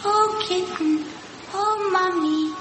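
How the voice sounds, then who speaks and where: Eerie, very high-voiced little ghost girl. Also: takes it slowly, fairly bright tone, reverberant, a little hiss or hum, heavily acted. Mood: eerie chanting. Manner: repetitive, chanting. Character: eerie sorrowful ghost girl of about nine. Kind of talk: ritual chant in radio horror drama.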